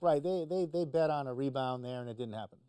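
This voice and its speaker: A man's voice: mid-range voice, fast, mellow, clear, resonant tone, no echo. A man explaining the news conversationally as a talk show commentator, in a hesitant, informal way.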